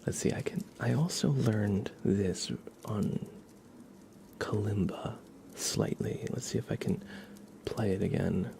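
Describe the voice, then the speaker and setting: Male ASMR live streamer, low and mellow, soft with slips into whisper, informal halting explainer, slight noise behind. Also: gravelly.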